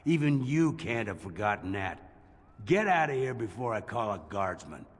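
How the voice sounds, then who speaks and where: Scornful dwarf guard, lowish husky voice, curt, fantasy game, reverberant hall. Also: male voice, sing-song, moderate pace. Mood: stern warning.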